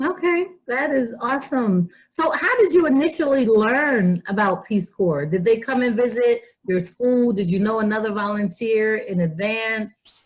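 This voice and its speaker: Woman interviewer with a higher voice. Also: a little slow, low-quality recording. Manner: hesitant, rephrasing questions with fillers. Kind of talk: interview questions.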